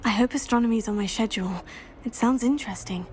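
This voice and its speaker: A woman playing a polite, curious teenage schoolgirl in a video game, speaking in a measured way. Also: on the high side, a little mellow, a little hiss or hum, lightly acted. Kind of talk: video game dialogue acting.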